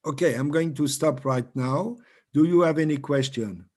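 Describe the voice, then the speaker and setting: A man in his fifties, a native French speaker with a French accent, teaching statistics software over a video call in a slow, halting way that often repeats words. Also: fairly high pitch. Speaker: trainer. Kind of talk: online software training. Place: home room with bookshelves.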